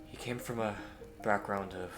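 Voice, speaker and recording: A man playing a courteous, earnest young Victorian gentleman hero in a scripted audio roleplay, with formal, hesitant phrasing. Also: fairly low pitch, fairly even intonation, normal speed, over music, lightly acted. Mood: grave, sorrowful.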